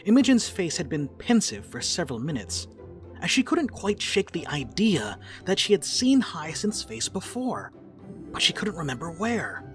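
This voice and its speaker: A man's voice: a little high, wildly swooping pitch, normal speed, faint music behind. A man giving scripted third-person narration for a dramatic reading.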